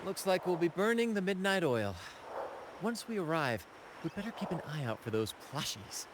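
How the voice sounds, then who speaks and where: A man's voice: sits high, sing-song, moderate pace, noisy background. A man voicing a video game's flamboyant young troupe leader, playful and gallant, with theatrical, flowery phrasing. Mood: reflective storytelling. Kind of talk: video game voice acting. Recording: game music behind the voice.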